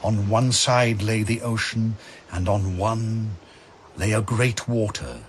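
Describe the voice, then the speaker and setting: A man with a fairly low voice narrates epic verse. His delivery is very slow, grave and theatrical, declaimed as drama. A noisy ambience lies behind him.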